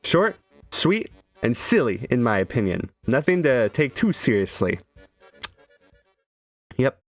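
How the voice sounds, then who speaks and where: A man's voice: mid-range voice, sing-song, slow pace, faint music behind. A young man giving joking, irreverent video game commentary in a sarcastic, sweary way.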